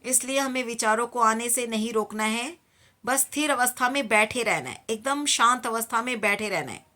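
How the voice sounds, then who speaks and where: A woman teaching guided meditation, giving calm, repeated instructions in a soothing manner. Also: fairly high pitch, normal speed.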